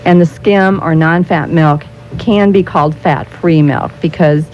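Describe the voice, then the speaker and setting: A dull radio recording with faint noise carries a woman with a fairly low voice. She explains things in a practical, instructive way, as a spokesperson being interviewed. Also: measured pace. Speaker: nutrition spokesperson, interview guest.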